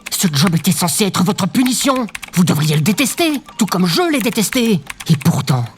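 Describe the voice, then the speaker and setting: A glum government bureaucrat, acted by a man in a comic audio drama, speaking in a slightly raised pitch. He is officious and pedantic with a deadpan delivery. Faint noise sits behind the voice. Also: moderate pace. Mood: baffled, exasperated. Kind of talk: audio drama comedy acting.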